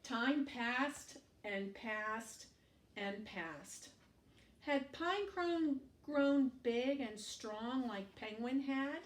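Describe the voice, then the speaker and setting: A woman storytime teacher reading aloud to young children in an expressive way, adding asides and questions for the listener. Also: fairly high pitch, very slow. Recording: echoey room, distant microphone.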